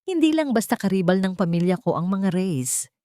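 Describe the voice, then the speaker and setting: A woman voicing an earnest, worried man in an audio romance story, speaking gravely and hesitantly with emphasis. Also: medium pitch, big pitch swings, normal speed, dark tone, no room sound, clean studio sound, close to an everyday voice. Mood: grave, urgent.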